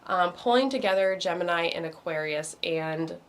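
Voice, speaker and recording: A woman talks through the week's astrology in a loose, wandering way, pausing on ums and circling back to restart her sentences. Her voice is a little hoarse, as if it is starting to give out. She sounds like she is speaking into a computer on a video call. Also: fairly low pitch. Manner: meandering, many ums and restarts. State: losing her voice. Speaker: meditation host. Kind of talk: casual astrology talk.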